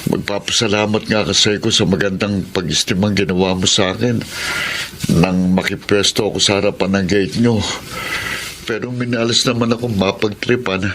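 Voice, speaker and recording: Genial low-voiced man. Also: steady tone, normal speed, a bit bright, slight background noise. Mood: grateful, rueful. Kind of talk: Filipino radio drama acting.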